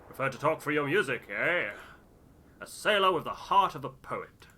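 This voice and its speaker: A man voicing a hearty, talkative sailor in a game, with a booming, teasing manner. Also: big pitch swings, normal speed, pushed and bright, full character voice. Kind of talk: voiced game dialogue.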